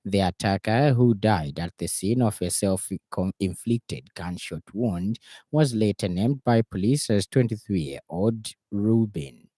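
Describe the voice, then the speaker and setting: A man's voice: lowish voice, lively intonation, slow, warm tone, no room sound. A man with a Zambian accent reading a scripted radio news bulletin. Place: radio studio.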